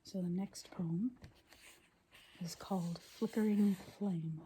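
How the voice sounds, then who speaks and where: A woman reading poetry in a gentle, deliberate, breathy ASMR whisper. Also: on the low side, fairly even intonation, a little hiss or hum. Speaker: poetry reader.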